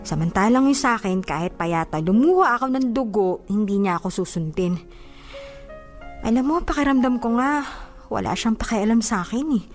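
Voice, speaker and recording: A woman's voice: fairly high pitch, animated, normal speed, soft background music, lightly acted. An actress playing a jealous, hurt young woman in a Filipino radio drama, with emotional delivery and a Filipino accent. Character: jealous, hurt young woman confiding about her boyfriend.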